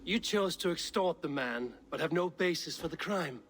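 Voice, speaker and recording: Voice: medium pitch, animated, moderate pace, a bit bright, a little hiss or hum, lightly acted. A man in a video game playing a blunt, commanding Viking warrior with a stern, scornful manner. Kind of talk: video game cutscene acting.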